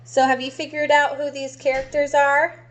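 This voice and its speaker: A woman's voice: high voice, flat delivery, moderate pace. A woman reading a picture book aloud to children expressively, with explanatory asides to her listeners. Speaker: storybook reader.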